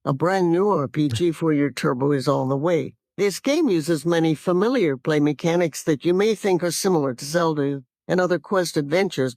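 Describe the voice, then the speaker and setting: Male reader, fairly high voice, scripted preview copy, very dry sound. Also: darkish tone, no echo. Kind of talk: reading game previews aloud.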